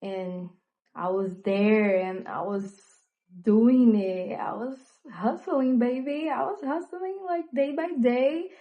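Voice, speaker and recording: A young woman with a Brazilian accent, native in Portuguese, talking openly and reflectively in a personal vlog, with frequent fillers and pauses. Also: on the high side, lo-fi sound. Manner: reflective, hesitant with many fillers. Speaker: vlogger. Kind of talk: candid personal monologue.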